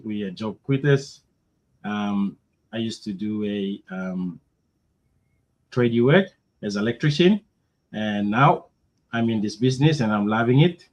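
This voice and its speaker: Hesitant man. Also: on the low side. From Papua New Guinea. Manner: hesitant, many ums, laughs easily. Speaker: guest, former electrician. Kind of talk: interview answers. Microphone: video call.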